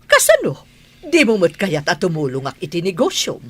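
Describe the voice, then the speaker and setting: A woman playing a haughty, easily outraged wealthy wife in an audio drama, with an Ilocano Filipino accent and sharp, indignant questioning. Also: on the low side, huge pitch swings, moderate pace, husky, exaggerated voice. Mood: shocked, hurt.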